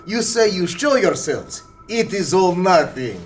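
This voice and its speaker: An actor playing a naive, zealous anarchist in a radio play, declaiming indignantly in broken English. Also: male voice, high-pitched, sing-song, a little slow, faint music behind.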